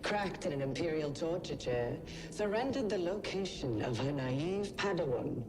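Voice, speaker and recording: An actress playing a taunting imperial villain who hunts heroes, with a deliberate, mocking delivery. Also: female voice, deep voice, animated, background noise. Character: menacing, taunting female imperial villain hunting Jedi. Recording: loud music behind the voice.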